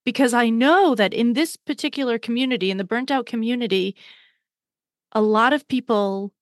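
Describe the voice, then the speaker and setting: A woman's voice: on the high side, normal speed, no room sound. Candid woman host, dry close sound. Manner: candid, conversational, asks follow-ups. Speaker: podcast host. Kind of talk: casual interview chat.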